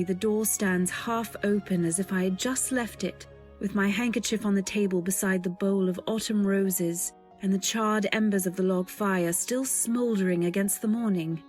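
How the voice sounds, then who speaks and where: A woman playing a timid, wistful young woman who narrates an old-time radio drama in a measured, dreamy, deferential way. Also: mid-range voice, lightly acted.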